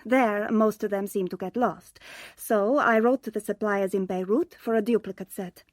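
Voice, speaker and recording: An actress playing a sharp, composed young secretary in a radio drama, speaking precisely and in a businesslike way. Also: female voice, mid-range voice, steady tone, moderate pace, no room sound, natural voice. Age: young adult.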